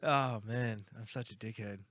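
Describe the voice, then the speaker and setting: A man's voice: on the low side, steady tone, moderate pace, a bit bright, dry recording. A man co-hosting a casual beer podcast, joking at his own expense and laughing often. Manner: laughing, self-deprecating. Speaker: podcast co-host. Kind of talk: casual chat over beers.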